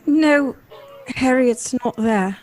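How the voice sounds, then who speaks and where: A woman's voice: high-pitched, slow pace, slight background noise, poor audio. A woman playing an anguished, remorseful wife, earnest and melodramatic in a 1930s-style radio drama. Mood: tearful remorse. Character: anguished, remorseful wife pleading with her husband.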